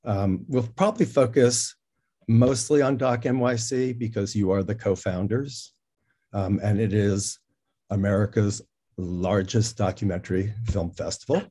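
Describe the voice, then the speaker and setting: A man hosting a casual interview, speaking in a halting way as he thinks aloud. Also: fairly low pitch, mellow, slightly rough. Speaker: interview host. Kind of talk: casual hosted conversation.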